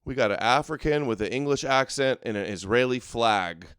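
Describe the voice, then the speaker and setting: A man's voice: medium pitch, expressive, moderate pace, a bit bright, clear, resonant tone, dry recording, studio quality. A man hosting an online show, giving casual, mocking running commentary on clips in a sarcastic, jeering way.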